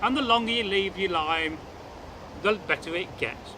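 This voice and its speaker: A man telling a folk tale as a storyteller, in a deliberate, repetitive way with old-fashioned phrasing. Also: sits high, background noise. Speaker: channel host and storyteller.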